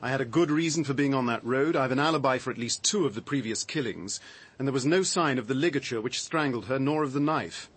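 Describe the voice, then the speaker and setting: An actor playing a shaken, defensive man with a halting, reflective, dry delivery in a radio drama. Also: mid-range voice, breathy edge, close to an everyday voice. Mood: defensive, sardonic. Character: shaken man recounting his discovery, defensive, sardonic.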